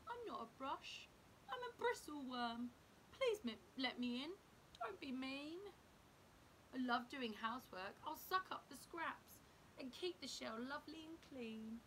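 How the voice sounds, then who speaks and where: A woman's voice: high voice, animated, measured pace, a bit bright, slight background noise, voice pushed up, poor audio. A woman voicing an eager, friendly, house-proud little bristleworm in a children's story, earnest and coaxing.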